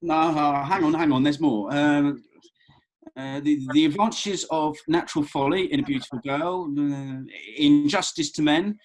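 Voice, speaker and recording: A man's voice: on the high side, normal speed, close to an everyday voice, low-quality recording. An actor playing a cheeky, bumbling young British man, colloquial and hesitant, in a read-through of a comedy script. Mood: backpedalling. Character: easygoing, cheeky, bumbling young bloke at festival.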